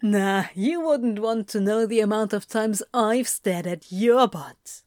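A woman's voice: medium pitch, slow pace, a little mellow, dry recording, clean studio sound, lightly acted. A woman playing a cocky, teasing, amorous man in a fan fiction audio drama, speaking informally.

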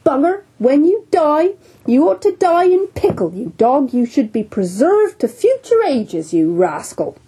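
A woman's voice: sits high, sing-song, measured pace, mellow, pitched above the performer's own voice. A woman voicing a jovial, teasing one-armed English sea captain in a storybook reading. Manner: boisterous, teasing, hearty.

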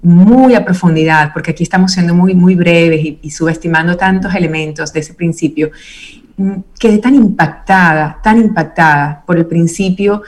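A woman's voice: fairly low pitch, normal speed. A woman speaking as an interview guest, reflective and earnest in a conversational style. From Venezuela.

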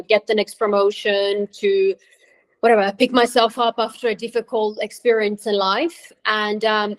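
A woman's voice: medium pitch, low-quality recording. A woman who grew up in Switzerland, a career coach talking as a podcast guest over a remote call, hesitant, with many ums and restarts. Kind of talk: conversational interview.

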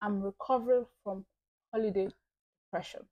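A woman's voice: mid-range voice, fairly even intonation, fairly quick, highs cut off. A woman hosting a casual podcast, chatty and informal, laughing easily with her guest. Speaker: podcast host.

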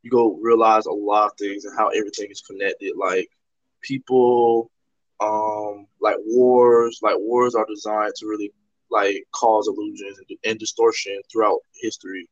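A man's voice: lowish voice, flat delivery, unhurried, dry recording. Rambling man with dull lo-fi sound. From Toronto, Canada. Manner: rambling, heavy use of "like" fillers; earnest, explanatory. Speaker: podcast host. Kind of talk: casual conversational discussion. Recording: slightly dull lo-fi audio.

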